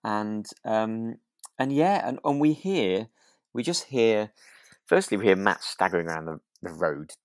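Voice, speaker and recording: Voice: mid-range voice, big pitch swings, unhurried, no echo, studio quality. A podcast co-host in casual chat, recapping a drama and hesitantly piecing the story together aloud.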